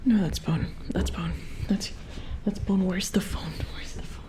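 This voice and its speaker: Woman acting a slow, hushed, shaken robot engineer. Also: on the low side, steady tone, close to an everyday voice. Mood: shaken, horrified. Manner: hushed, hesitant, stammering, often whispering. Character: uneasy, shaken female robot repair engineer. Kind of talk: soft-spoken ASMR horror roleplay. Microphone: close ASMR microphone. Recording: roomy echo, light background noise.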